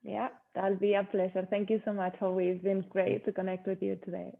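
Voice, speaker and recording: Spanish-accented woman on a phone-like line, creaky voice. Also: mid-range voice, flat delivery, a little fast. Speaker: guest, yoga instructor. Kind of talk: conversational interview. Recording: thin phone-like call audio.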